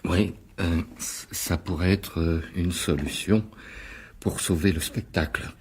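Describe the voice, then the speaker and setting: An actor playing a wily, self-important stage actor in a French radio drama, with hesitant, theatrical delivery. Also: male voice, low-pitched, steady tone, a little slow, a little mellow, slightly rough. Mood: calculating.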